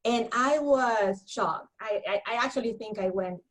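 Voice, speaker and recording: A woman from Honduras with a Honduran Spanish accent, telling her story in a lively conversational interview, laughing often and repeating words when worked up. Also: a little high, moderate pace. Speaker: guest counselor.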